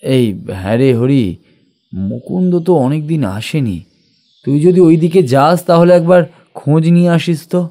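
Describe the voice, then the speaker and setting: An actor playing an easygoing village gentleman who talks to his servant in a casual, familiar way in an audio story. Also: on the high side, normal speed, close to an everyday voice. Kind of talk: audio story character acting.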